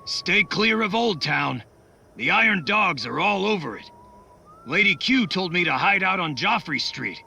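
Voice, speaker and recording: Chatty game inventor on radio, gravelly, high, swooping delivery. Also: male voice, lively intonation, measured pace, pushed and bright, a little hiss or hum, full character voice. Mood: upbeat, chatty. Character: chatty, eccentric workshop tinkerer on the radio. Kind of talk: video game cutscene acting.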